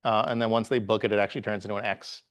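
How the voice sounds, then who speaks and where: Relaxed man presenting with lots of fillers. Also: on the low side. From Bahamas. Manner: relaxed, halting, many "uh" fillers and restarts. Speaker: guest presenter, software engineer. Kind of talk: live tech meetup talk with slides.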